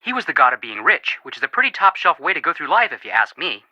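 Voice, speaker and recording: An actor voicing an excitable, flippant young male amateur detective in a video game, joking in an exaggerated, mock-dramatic way. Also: fairly high pitch, quick pace, no echo, muffled recording, heavily acted. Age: young adult.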